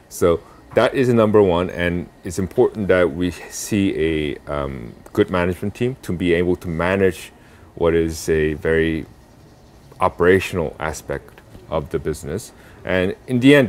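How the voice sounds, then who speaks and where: A young adult man from South Korea answering interview questions about impact investing, pausing often with fillers and restarts. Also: sits low. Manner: halting, many fillers and restarts.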